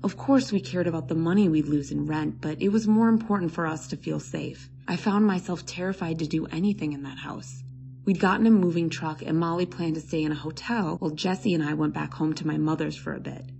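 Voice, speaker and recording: A woman's voice: sits low, a little fast, vocal fry, warm tone, muffled recording, slight background noise. A woman storyteller giving a scripted first-person narration of a scary story.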